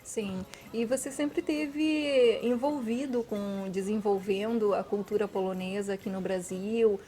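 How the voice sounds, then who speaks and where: A woman's voice: mid-range voice, a little slow, warm tone, slight background noise. A woman hosting an interview show, asking questions in a polite, formal way. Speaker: show host.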